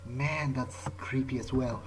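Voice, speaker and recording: A man's voice: mid-range voice, fairly even intonation, a little slow, noisy background, lightly acted. A man voicing a curious, wary vampire in a video game playthrough, casually thinking aloud to himself. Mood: unsettled, puzzled. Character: curious, wary male vampire exploring a creepy mansion. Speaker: let's player playing in character. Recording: music behind the voice. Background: game soundtrack music.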